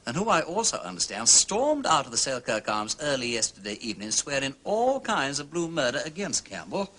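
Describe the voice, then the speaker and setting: An actor playing a jaunty, inquisitive English aristocrat sleuth, with upper-class vowels and playful, exclamatory period phrasing. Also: male voice, medium pitch, very animated, fairly quick, pushed and bright, rough recording. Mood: musing. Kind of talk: period radio drama acting.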